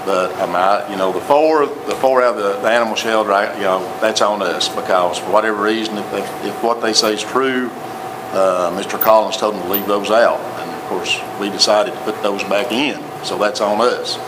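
A man's voice: fairly low pitch, moderate pace. A man with a Tennessee accent giving a plainspoken report to a city council, repeating himself as he explains. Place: council chamber with audience. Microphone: table microphone. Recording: noisy council chamber recording.

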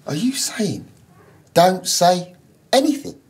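Slow, slangy man. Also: sits high, very animated, darkish tone, heavily acted. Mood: bewildered. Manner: indignant, slangy, sweary. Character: dim, wounded, cocky male soap actor. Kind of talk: naturalistic comedy soap acting. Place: radio studio corridor indoors, film camera.

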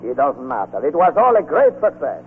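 Gushy teasing italian army buddy, swoopy highish voice, old muffled radio play. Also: male voice, huge pitch swings, a little fast. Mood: exuberant, teasing. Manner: exuberant, affectionate, wordy. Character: effusive, teasing Italian army officer friend. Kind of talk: 1940s radio acting. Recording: muffled, noisy 1940s radio broadcast recording.

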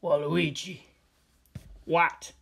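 A man reads a comedy film script aloud, stage directions and all. His delivery is dry and deadpan. His voice sits a little high for a man. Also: very slow, gravelly, slightly rough. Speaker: script reader.

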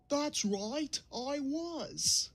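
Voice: high-pitched, huge pitch swings, extremely slow, a bit bright, slight background noise, heavily acted. An actor voicing a forgetful, anxious cartoon diesel engine, apologetic and hesitant, prone to gasps. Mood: anxious, eager. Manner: hesitant, gasping, dithering. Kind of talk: cartoon comic dub dialogue.

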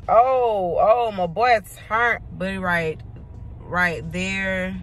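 A woman's voice: medium pitch, steady tone, very slow. A young woman with a Southern US accent chatting casually and in slang to a phone held at arm's length. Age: young adult. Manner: informal, slangy. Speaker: vlogger. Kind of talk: casual vlog chat to camera. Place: rooms at home and a parked car.

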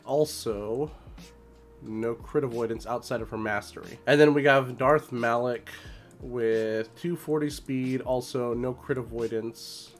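Slightly hoarse man explaining gameplay. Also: mid-range voice, measured pace, soft background music. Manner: explanatory, informal. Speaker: gaming video creator. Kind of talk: casual gameplay strategy commentary. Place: small room at home.

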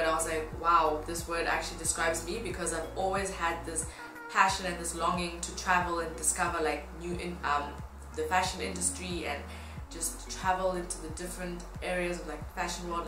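Low-pitched young woman, roomy vlog sound. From Tanzania. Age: 20s. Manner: upbeat, informal. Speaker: fashion blogger. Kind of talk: casual chat to camera. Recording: roomy echo, music behind. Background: music.

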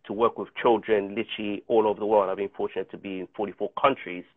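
A man's voice: on the low side, steady tone, fast, breathy edge, dry recording. A man in his thirties from South Africa, whose first language is Chichewa, talking earnestly and reflectively as an interview guest over a video call. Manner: earnest, reflective storytelling with many restarts. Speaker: guest actor. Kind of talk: casual interview. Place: home room on a video call. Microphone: video call with earphone mic. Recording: tinny dry phone-like call audio.

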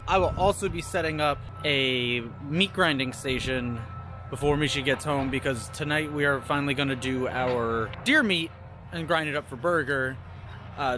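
A man in his thirties, a homestead vlogger, chatting easily to a camera held at arm's length, first outdoors on rural land and then inside a camper. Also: a little high. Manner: chatty, easygoing, talks straight to camera. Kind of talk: casual vlog narration.

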